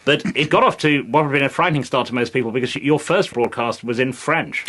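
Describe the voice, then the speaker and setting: Male interviewer, measured, asks long questions built up bit by bit, mid pitch. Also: a bit bright.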